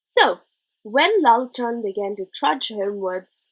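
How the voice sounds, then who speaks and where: A woman's voice: fairly high pitch, expressive, slow pace, low-quality recording. A woman reading a children's adventure story aloud as scripted narration. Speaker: audiobook reader.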